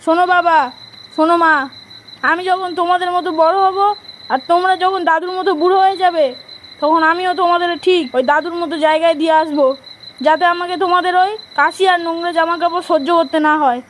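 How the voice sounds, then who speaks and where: A small boy of seven in an audio drama, extremely high in pitch. The child sounds earnest and headstrong, with faint insect noise behind the voice. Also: steady tone, normal speed, fairly bright tone. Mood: accusing, firm. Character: hurt, defiant seven-year-old grandson.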